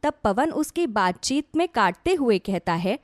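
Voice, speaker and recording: A woman's voice: a little high, sing-song, unhurried, no echo, clean studio sound. A woman narrating a scripted dramatic story as a storyteller.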